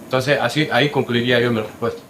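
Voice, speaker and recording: A man's voice: medium pitch, fairly even intonation, fast, a bit bright. Argumentative man in an echoey room. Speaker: panelist. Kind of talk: casual discussion. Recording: echoey room with faint background noise.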